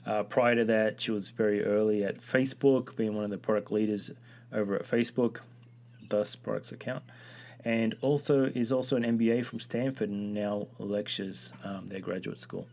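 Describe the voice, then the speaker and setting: A man's voice: fairly low pitch, fairly even intonation, normal speed, vocal fry, darkish tone. A man hosting an interview podcast, eagerly asking questions and often repeating words as he starts them. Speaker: podcast host.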